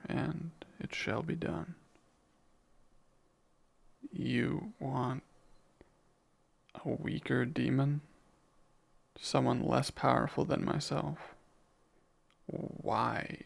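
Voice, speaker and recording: Casting: male actor as jealous, menacing, devoted demon for romantic ASMR roleplay; heavy vocal fry, slow formal delivery. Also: mid-range voice, heavily acted. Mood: coldly menacing, then jealous, questioning. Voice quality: gravelly. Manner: slow, formal, deliberate phrasing.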